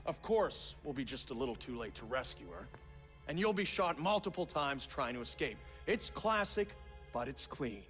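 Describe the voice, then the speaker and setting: An actor playing a smug, ruthless agency villain in a video game, with a taunting, condescending manner. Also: male voice, medium pitch, big pitch swings, moderate pace, a bit bright. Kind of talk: cinematic video game acting. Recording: faint music under the voice.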